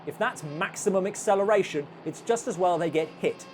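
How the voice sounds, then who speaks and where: A woman's voice: lowish voice, brisk, darkish tone, soft background music. A woman narrating a scripted film review in a dry, sarcastic manner.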